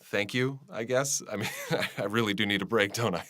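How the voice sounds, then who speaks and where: A man's voice: lowish voice, moderate pace, sharp tone. A man playing a tired, earnest radio journalist talking conversationally as an interviewee in a drama. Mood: tired, wry.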